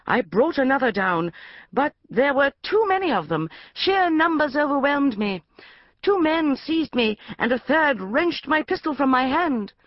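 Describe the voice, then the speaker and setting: A woman's voice: high-pitched, big pitch swings, a little slow, dry recording, muffled recording, pitched above the performer's own voice. A woman voicing a bold young woman reading an adventure tale aloud with heightened drama. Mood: dramatic.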